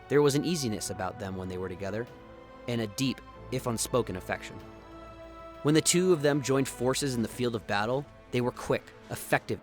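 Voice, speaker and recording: Male narrator, slightly low register, dramatic scripted storytelling over faint music.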